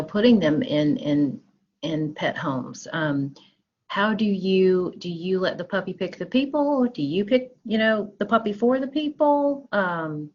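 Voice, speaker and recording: Woman host, lowish voice, kinda hesitant, faint hiss, lo-fi. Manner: hesitant, many fillers and backchannels. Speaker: interview host. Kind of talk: casual interview questions.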